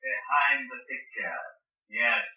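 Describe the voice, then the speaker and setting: An actor playing a cold, gloating enforcer who gives slow, taunting commands in an old-time radio drama. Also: male voice, medium pitch, big pitch swings, pushed and bright, exaggerated voice. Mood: cold, commanding. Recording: muffled, echoey old radio recording, far off.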